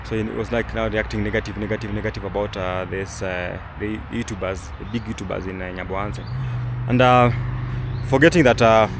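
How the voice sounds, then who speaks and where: A man's voice: fairly low pitch, fairly even intonation, brisk. A young man, a travel vlogger, giving casual commentary outdoors, rambling with many fillers and restarts. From Kenya. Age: young adult. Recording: outdoor recording with traffic noise.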